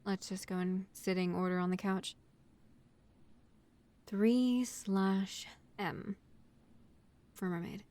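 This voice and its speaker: A woman's voice: mid-range voice, slow pace, pauses often, darkish tone, close to an everyday voice. A woman playing an exasperated, bossy young vampire college student in a scripted roleplay audio drama, talking in an organized, matter-of-fact way like someone chairing a meeting. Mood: organized, considerate.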